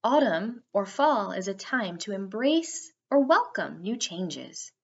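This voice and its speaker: A woman narrating a guided meditation for children in a soothing, unhurried way with drawn-out phrasing. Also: mid-range voice, very animated, gravelly, a little mellow, breathy edge, dull audio.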